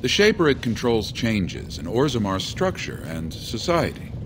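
A man voicing an earnest, pious dwarf missionary in a video game, speaking in a gently persuasive way. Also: medium pitch, wildly swooping pitch, normal speed, background noise. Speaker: preacher. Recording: game audio with music underneath.

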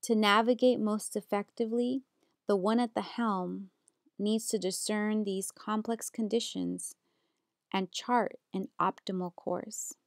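A young woman from the United States, a graduate student reading a prepared slideshow presentation in a steady, earnest way. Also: medium pitch, measured pace, no echo, studio quality.

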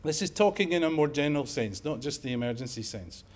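A man's voice: a little high, animated, fast. A middle-aged man from Scotland with a Scottish accent, giving a conference talk in a lecture room with wry, joking asides. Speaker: emergency medicine professor giving a lecture.

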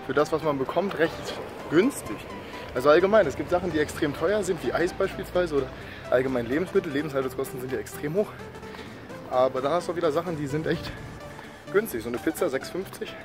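A young man chatting casually to the camera in an upbeat, slangy way, with a slight cold. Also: fairly high pitch. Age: young adult. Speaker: vlogger. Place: outdoors on residential streets and indoors in a living room. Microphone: phone or camera at arm's length.

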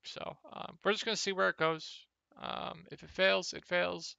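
A young man with a slightly hoarse, gravelly voice, casually talking through fantasy football picks over a screen share, with many ums. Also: high-pitched, moderate pace, no echo, highs cut off. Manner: relaxed, lots of ums. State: a little hoarse. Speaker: fantasy sports analyst.

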